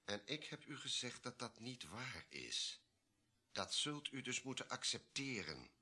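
An actor playing an imperious, ruthless army colonel in a radio play, speaking in a curt, formal, commanding way. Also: male voice, medium pitch, very animated, a little slow, pushed and bright, husky, exaggerated voice. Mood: cold, insistent. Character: imperious, ruthless male army colonel guarding a deadly secret. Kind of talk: Dutch radio play acting.

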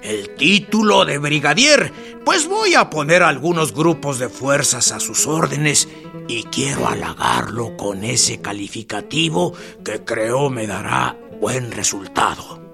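An actor playing a haughty, scheming Mexican army general in a radio drama, with a creaky voice and a curt, authoritative, drawn-out delivery. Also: male voice, medium pitch, very animated, heavily acted. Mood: formal, calculating. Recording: music behind the voice.

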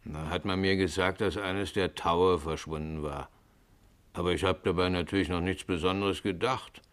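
Man acting a deep-voiced, troubled ship's captain. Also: fairly even intonation, normal speed, close to an everyday voice. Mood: troubled, defensive. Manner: hesitant, explaining. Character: older male ship's captain, troubled, defensive. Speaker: actor in a radio crime play. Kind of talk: 1950s German radio play acting.

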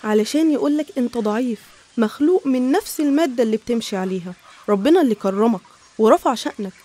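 A woman's voice: a little high, expressive, fast, warm tone. A woman with an Egyptian accent narrating scripted religious stories in an explanatory, didactic manner.

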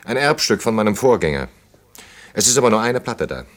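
An actor playing a reserved, awkward middle-aged department head in a naturalistic radio play, halting and dryly formal. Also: male voice, lowish voice, very animated, a bit bright. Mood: polite, awkward. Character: reserved, awkward male department head courting a young woman.

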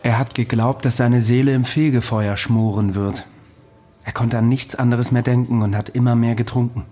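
An actor playing a self-assured man recounting his past in a radio drama, matter-of-fact and measured. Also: medium pitch, fairly even intonation, warm tone, close to an everyday voice. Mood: calm, matter-of-fact. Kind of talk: radio drama dialogue, storytelling.

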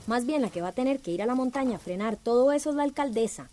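A woman's voice: fairly high pitch, lively intonation, fast talker, mellow, natural voice. A woman playing an eager, outspoken young village activist in a radio drama. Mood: determined.

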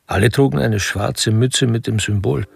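A very gravelly, wry narrator. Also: male voice, mid-range voice, moderate pace, faint music behind. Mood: observant, wry. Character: wry male storyteller of a crime tale. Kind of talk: scripted literary radio drama narration.

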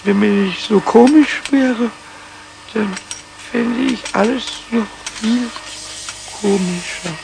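A man voicing a cheeky, childlike little kobold in a 1970s children's radio play, squeaky and stammering in excited repetitions. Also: slow pace, creaky, pauses often, noisy background, heavily acted. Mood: tipsy, giddy. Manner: stammering, repeats words excitedly.

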